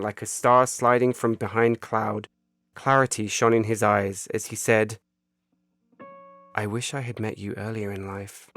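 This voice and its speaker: A man reading his own short story as a scripted literary narration, measured and solemn. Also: medium pitch, a bit bright. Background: music bed.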